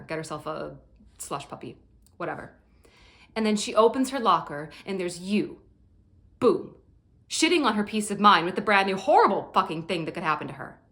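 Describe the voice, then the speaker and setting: A woman's voice: medium pitch, fairly bright tone, natural voice. A woman performing a dramatic monologue as a blunt, exasperated scold with a sarcastic, cutting manner. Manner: sarcastic, cutting, foul-mouthed.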